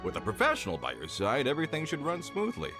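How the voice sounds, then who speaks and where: A man voicing a genial, boastful middle-aged merchant in a video game, smooth and persuasive as he talks himself up. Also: mid-range voice, huge pitch swings, fast talker. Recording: game audio with soft music.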